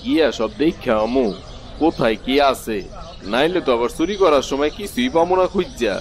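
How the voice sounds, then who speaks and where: A man's voice: mid-range voice, animated, normal speed, lightly acted. A man voicing a scheming, boastful village thief in a cartoon tale. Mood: sly. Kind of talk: cartoon fairy tale acting.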